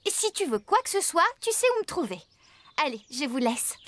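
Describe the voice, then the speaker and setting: An actress playing a caring, chatty farmer woman in a children's cartoon, talking in an expressive, coaxing way. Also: high voice, moderate pace. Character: caring, chatty, good-humoured farmer woman tending animals.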